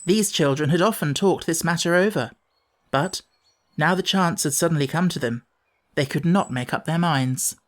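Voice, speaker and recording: A woman narrates a children's audiobook in a fairly low voice. The reading is scripted storytelling, addressed to young listeners. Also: normal speed.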